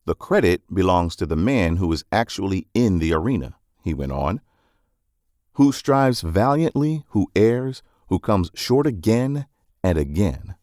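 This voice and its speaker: A man's voice: deep voice, very animated, unhurried, darkish tone, no room sound, studio quality. A man reading a scripted non-fiction book summary as narrator, with polished, emphatic phrasing.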